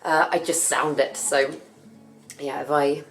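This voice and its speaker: A young woman with a husky voice from a cough, chatting casually about her plants as she works. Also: sits low, fairly even intonation, measured pace, soft background music. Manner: chatty, rambling, self-correcting. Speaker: plant care vlogger. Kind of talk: casual chat while working.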